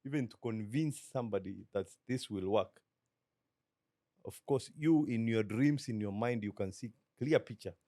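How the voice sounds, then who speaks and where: A middle-aged Kenyan man with a Kenyan accent, a business guest talking in a measured, reflective way and slipping into Swahili. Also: lowish voice, warm tone, no echo, clean studio sound. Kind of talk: relaxed interview talk.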